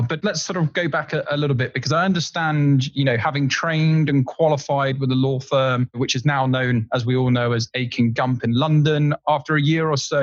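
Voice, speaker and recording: A man hosting a podcast interview, brisk and encouraging as he leads with questions. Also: mid-range voice, dry recording. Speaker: podcast host. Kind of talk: casual interview conversation. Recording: muffled, dry podcast audio.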